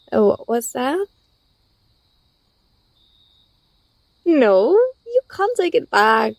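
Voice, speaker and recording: A woman playing an affectionate, teasing young student talking to a close friend in a soft, intimate ASMR roleplay. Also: a little high, creaky, no echo, exaggerated voice. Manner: gentle, playful, coaxing.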